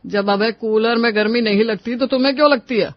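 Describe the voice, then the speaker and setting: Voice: mid-range voice, brisk, no echo, highs cut off, close to an everyday voice. A performer voicing a dismissive, complacent older Indian father-in-law in a Hindi cartoon story.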